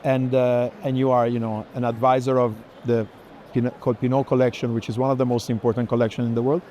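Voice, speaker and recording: A man's voice: medium pitch, little pitch movement, quick pace, warm tone, muffled recording. A man hosting a walking art conversation, explaining things in a discursive way. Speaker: curator hosting a walking tour. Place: busy art fair. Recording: clear urban ambient noise.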